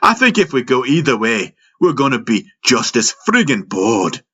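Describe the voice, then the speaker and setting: A man's voice: medium pitch, sing-song, moderate pace, fairly bright tone, dull audio, pitched above the performer's own voice. A man voicing a wisecracking starship pilot who needles his crewmates with sarcasm. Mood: bored, needling.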